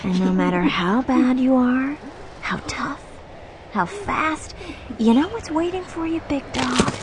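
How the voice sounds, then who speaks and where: Teasing, high-pitched superhero girl. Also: expressive. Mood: mocking menace. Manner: teasing, mock-sweet, drawn-out taunting. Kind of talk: audio drama acting. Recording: noisy audio drama clip with ambience.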